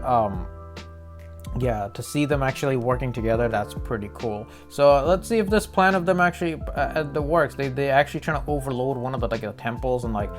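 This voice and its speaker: Rambling, slangy man reacting on video. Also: mid-range voice. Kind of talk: casual, unscripted reaction commentary. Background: music behind the voice.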